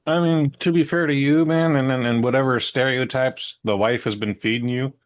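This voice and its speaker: A man's voice: mid-range voice, steady tone, moderate pace. Easygoing American man, rambling stories. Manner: easygoing, rambling storyteller with fillers. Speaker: podcast co-host. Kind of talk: casual podcast chat.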